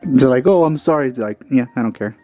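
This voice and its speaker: A man giving casual, halting, nitpicky running commentary as he plays a video game. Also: medium pitch, lively intonation, mellow, soft background music. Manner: halting, self-correcting, nitpicky. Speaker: let's player commenting on a game.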